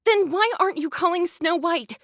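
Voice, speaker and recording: A melancholy, pious young android woman broods in a high voice. She sounds withdrawn and easily hurt. The game drama is recorded dry, with no room sound. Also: moderate pace, a bit bright, no echo. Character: gloomy, devout young female android, withdrawn and touchy.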